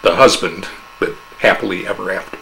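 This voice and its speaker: A man narrating a humorous fable as a dramatic oral interpretation, with theatrical, measured storytelling. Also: low voice, vocal fry, pushed and bright, a little hiss or hum, poor audio.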